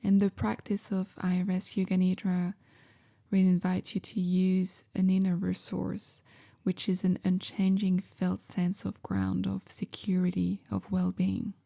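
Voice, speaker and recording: A woman guiding a meditation in a gentle, calm, measured way, softly spoken with whispery phrase endings. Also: on the low side, vocal fry. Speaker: meditation guide, yoga teacher. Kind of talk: guided meditation, slow soothing instruction.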